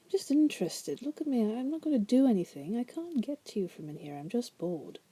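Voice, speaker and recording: A woman voicing a bored, manipulative female demon prisoner in an ASMR roleplay, softly teasing and coaxing the listener in a breathy voice that often drops to a whisper. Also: a little high, very animated, a little fast. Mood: coaxing, curious. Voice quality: soft, breathy.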